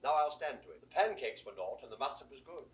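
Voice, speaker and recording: An actor playing a cheeky, quibbling court jester in a classic Shakespeare audio drama, bantering with mock logic in a theatrical style. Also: male voice, mid-range voice, sing-song, fast, natural voice. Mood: mock-earnest.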